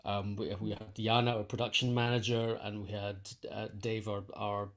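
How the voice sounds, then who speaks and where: A man's voice: fairly low pitch, level tone, moderate pace. A man being interviewed, explaining his work in a casual conversational way, thinking aloud and repeating phrases as he goes. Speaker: interview guest, composer and conductor.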